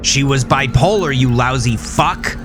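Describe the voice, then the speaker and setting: A man performs a wronged husband in a horror audio drama, consumed by rage and grief. He rants in anguished accusation, bitter and vengeful, over clearly audible music. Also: medium pitch, unhurried, pitched above the performer's own voice, close to an everyday voice. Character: enraged blood-soaked grieving husband, vengeful and accusing.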